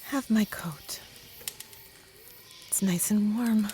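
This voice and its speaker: A woman playing a kind, protective traveller in a gentle ASMR comfort roleplay, speaking softly with some whispering and reassuring slowly. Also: medium pitch, slight background noise. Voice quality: soft, partly whispered. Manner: gentle, slow, soothing, reassuring.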